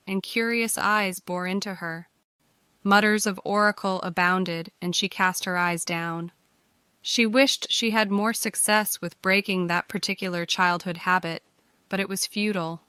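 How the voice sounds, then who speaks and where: A woman's voice: mid-range voice, a bit bright, clear, resonant tone, no room sound. A woman reading scripted fiction narration for an audiobook.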